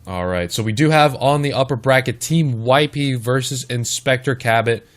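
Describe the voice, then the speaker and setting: A man hosting a live esports stream, casting matches in casual chat and talking straight to the viewers, his voice a little hoarse. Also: mid-range voice, moderate pace. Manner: chatty, talks straight to the chat. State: slightly hoarse. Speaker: esports caster and stream host.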